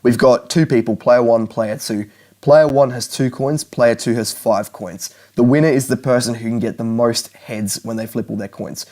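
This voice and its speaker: A man's voice: medium pitch, moderate pace, darkish tone. A young man talking straight to camera, giving earnest language-learning advice and often restarting his sentences. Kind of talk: direct-to-camera advice talk.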